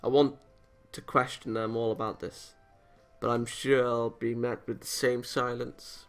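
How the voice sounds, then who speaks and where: A man's voice: mid-range voice, little pitch movement, unhurried. A man hosting a let's play, reading a visual novel aloud with casual comments and often stumbling over lines. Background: game music.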